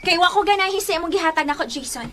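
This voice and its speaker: High, animated, headstrong Cebuano young woman. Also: rapid-fire, slight background noise. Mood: exasperated. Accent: Cebuano Filipino. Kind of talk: Bisaya radio drama acting.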